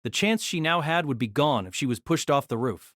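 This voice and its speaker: A woman's voice: low-pitched, lively intonation, fairly quick, dry recording, clean studio sound. A woman narrating a novel in a scripted, dramatic storytelling style.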